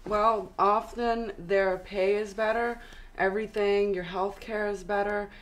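A young woman with a Southern US accent, a guest on a studio interview, giving steady explanatory advice. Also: medium pitch, a little slow, poor audio. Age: young adult. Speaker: actress and model guest. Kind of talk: interview answers. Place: studio with city backdrop, seated on sofa.

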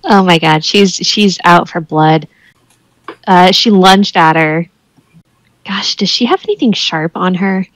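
A woman's voice: on the low side, a little slow, dry recording, poor audio. A woman playing a tabletop roleplaying game, describing her character's actions in casual, improvised speech.

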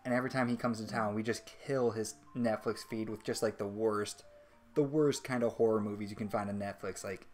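A man's voice: on the low side, steady tone, a little fast, darkish tone, faint music behind. A young man hosting a casual stream interview, rambling and joking as he reads questions from chat.

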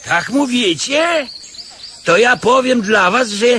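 A suspicious, bossy male beggar from a comic radio play. His voice is high and swings widely, with a slow, folksy, declamatory delivery over faint noise. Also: lively intonation, bright, forceful tone, heavily acted. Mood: sly, sceptical. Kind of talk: Polish radio comedy drama acting.

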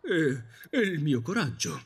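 Wistful romantic gentleman ghost, wildly animated man acting. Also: a little high, unhurried, husky, heavily acted. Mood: dreamy, romantic. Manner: theatrical storytelling. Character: Gregor, wistful romantic Victorian ghost, wordy dreamer. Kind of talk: audio drama acting.

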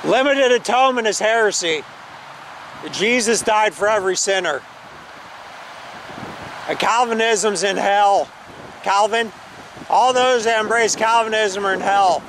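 Guy street preaching in a parking lot, kinda high voice, windy mic noise. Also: a little slow, long pauses, poor audio. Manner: loud, insistent preaching that drops to calmer conversation. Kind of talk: open-air preaching, then debate. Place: outdoor church parking lot. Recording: wind noise on an outdoor camera mic.

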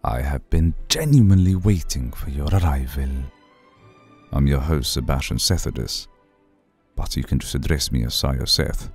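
Man voicing an extremely deep, gravelly old vampire lord. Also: lively intonation, soft background music, full character voice. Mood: welcoming, formal. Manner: formal, unhurried, seductive. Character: suave, centuries-old male vampire lord, courteous, cool, possessive. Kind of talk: ASMR roleplay.

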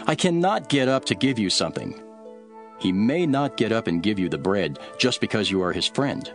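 An actor playing a calm, authoritative male teacher telling parables in an earnest, deliberate scripture drama. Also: fairly low pitch. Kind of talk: dramatised scripture reading, measured storytelling.

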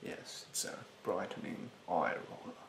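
A man in his twenties doing an ASMR roleplay as a rude beautician, speaking softly and breathily, often near a whisper, in a haughty, condescending way. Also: a little slow, roomy sound, slight background noise. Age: 20s. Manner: haughty, condescending, politely insulting. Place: small bedroom, indoors.

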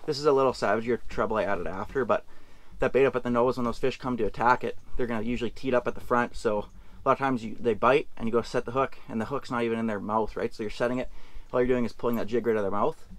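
A man's voice: medium pitch, fast talker. A young man casually explaining his fishing baits and rigs as he fishes inside an ice shelter. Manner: relaxed explaining, excited and out of breath after running. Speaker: fishing vlogger. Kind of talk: casual how-to talk and banter.

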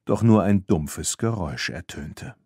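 A man narrating a children's audiobook in an expressive, scripted storytelling style. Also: deep voice, a little slow, gravelly, husky, dry recording, studio quality.

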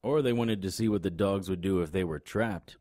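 An actor in an audio drama playing a cynical, sardonic, hard-boiled private investigator with a terse delivery. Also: male voice, deep voice, a little fast, darkish tone, no echo, clean studio sound, natural voice. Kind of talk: audio drama acting with noir narration.

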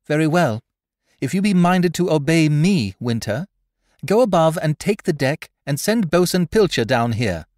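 A man's voice: high-pitched, sing-song, a little slow, a little mellow, no room sound, clean studio sound, voice pushed up. A man voicing a cool, blunt, commanding young woman in a seafaring storybook. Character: commanding, level-headed young woman aboard a mutinous ship. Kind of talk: audiobook character voice, period seafaring speech.

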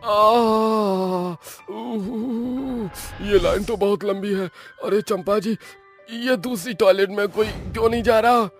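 A man's voice: sits high, steady tone, takes it slowly, faint music behind, voice pushed up. A man voicing a comic, panicky village man with an upset stomach, whining and exclaiming dramatically in a cartoon story. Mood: desperate whining. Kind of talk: Hindi cartoon horror-comedy voice acting.

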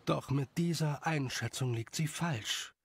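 A man reading scripted story narration as the narrator, with a creaky voice. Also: on the high side, unhurried.

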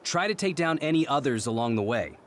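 A man's voice: medium pitch, animated, fairly quick, slight background noise, natural voice. A man playing an affable, composed military captain in an anime game, easygoing yet authoritative. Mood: commanding. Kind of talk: English anime-game voice acting. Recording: faint music behind the voice.

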